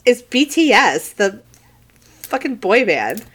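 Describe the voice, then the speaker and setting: A woman's voice: on the high side, big pitch swings, slow, a bit bright, breathy edge. A woman with a Southern American accent, co-hosting a podcast in giggly, teasing casual chat. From Southern United States. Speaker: podcast co-host.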